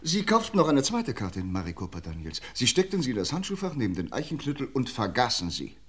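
Shrewd old-radio detective, pointed, lively swings in his voice. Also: mid-range voice, breathy edge. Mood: accusing. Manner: measured, pointed interrogating delivery. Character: shrewd male police inspector confronting a suspect. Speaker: police inspector. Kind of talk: 1950s radio drama acting.

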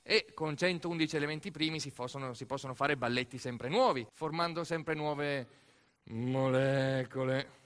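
An Italian man telling a theatrical monologue as a stage narrator, expressive and playful, with dramatic emphasis and deliberate pauses. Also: mid-range voice, smooth.

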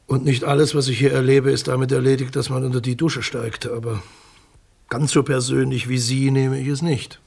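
A man's voice: mid-range voice, little pitch movement, moderate pace, gravelly, a little mellow, breathy edge. An actor playing a sober, guarded male public prosecutor in a naturalistic radio crime drama, speaking in formal, precise, matter-of-fact officialese.

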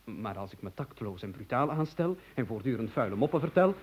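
An actor playing an excitable, gloomy musician in an absurd comic radio play, complaining theatrically and at wordy length. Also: male voice, mid-range voice, fairly quick, a little hiss or hum, lightly acted. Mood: pedantic. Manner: theatrical, verbose, plaintive complaining.

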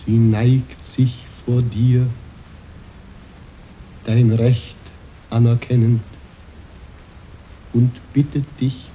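A man playing a resolute, grey-haired old monk in a radio play, speaking in a solemn, archaic, measured manner. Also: lowish voice, warm tone, a little hiss or hum, low-quality recording. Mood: humble, solemn. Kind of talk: German radio play acting.

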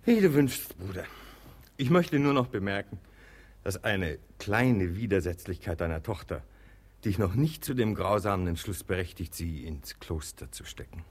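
An actor playing a sensible middle-aged brother in a German radio comedy, reasoning patiently with dry, teasing mockery. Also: male voice, deep voice, animated, moderate pace. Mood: reasoning, insistent. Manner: reasoning, dryly mocking. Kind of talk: German radio play acting of classic comedy.

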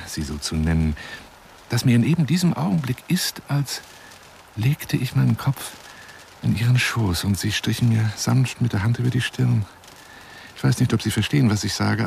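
A man's voice: mid-range voice, vocal fry, darkish tone, a little hiss or hum. An actor in a radio monologue playing a florid, wheedling lion hunter who courts a woman over the phone in an intimate, theatrical way. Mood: needy, coaxing. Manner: grandiloquent, wheedling, intimate, dropping into whispers.

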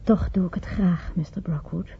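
A devoted young woman caring for an invalid in an old radio drama. She speaks in a very breathy, hesitant, deferential way. The recording is dull and muffled. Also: fairly low pitch, moderate pace, heavily acted. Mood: attentive, dutiful. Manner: soft, hesitant, deferential, often dropping to breathy near-whisper. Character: devoted, timid 25-year-old female carer of an invalid. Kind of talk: radio play acting in Dutch.

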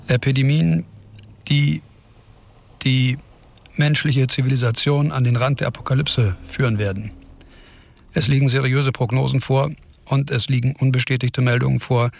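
An actor playing a resolute scientist and doctor in a radio drama, speaking solemnly and emphatically in a public-speech style. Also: male voice, mid-range voice, creaky, a little hiss or hum, natural voice. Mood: grave warning. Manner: solemn, emphatic, repeating phrases. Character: resolute male scientist and doctor defending his experiment. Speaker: scientist and physician character.

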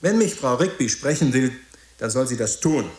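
A male actor plays a weary pastor in a radio drama, guarded and brusque. His medium-pitched voice moves widely up and down as he questions and deflects. The room around him audibly echoes. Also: expressive, normal speed, close to an everyday voice. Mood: firm, dismissive. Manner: curt, brusque, questioning, hesitant at times. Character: weary, guarded pastor living alone, gruff and defensive.

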